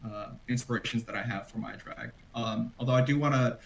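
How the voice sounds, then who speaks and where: A man's voice: mid-range voice, fairly quick, vocal fry, a little hiss or hum, low-quality recording. Rambling, hesitant man on a video call. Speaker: drag performer, panelist. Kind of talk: casual online panel chat.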